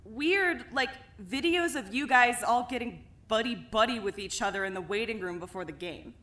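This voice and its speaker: A woman's voice: on the high side, animated, moderate pace, pushed and bright. A woman voicing a blunt, suspicious young woman who talks casually and swears freely, in a fan audio drama.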